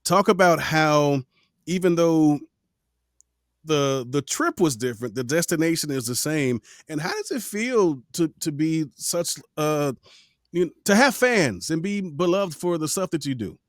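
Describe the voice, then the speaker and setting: A man's voice: on the high side, expressive, unhurried, no echo, studio quality. A man hosting a podcast, asking long, hesitant questions full of fillers in a friendly, casual way. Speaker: podcast host. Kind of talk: casual interview.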